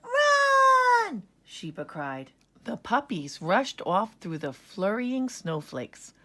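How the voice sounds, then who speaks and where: A woman narrating a children's story in an expressive read-aloud style. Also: fairly low pitch, takes it slowly.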